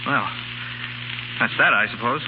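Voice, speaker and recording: A man's voice: medium pitch, measured pace, narrow, tinny audio, close to an everyday voice. An actor playing a glib, sardonic man on the run in a 1930s radio drama, on a noisy old recording. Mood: taken aback. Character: brash wry fugitive man wrongly hunted for murder.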